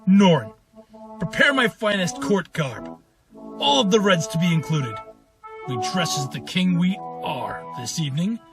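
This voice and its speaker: A man's voice: sits high, sing-song, measured pace, over music, heavily acted. A man playing an arrogant, wrathful prince in an audio drama, pompous and scornful in his theatrical delivery. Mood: angry, contemptuous. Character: arrogant, wrathful, imperious prince dictating letters.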